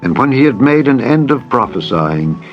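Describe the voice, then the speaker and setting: A man's voice: mid-range voice, big pitch swings, normal speed. A man narrating scripture in a solemn, formal, dramatized reading style. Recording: dull radio-like sound, music behind.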